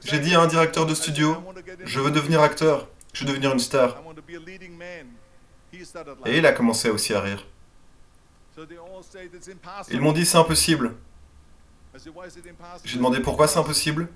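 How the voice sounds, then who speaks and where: A man's voice: on the high side, moderate pace, long pauses, fairly bright tone. A man reading a first-person motivational speech as a dubbed voice-over, in an earnest and emphatic way. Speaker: voice-over translator.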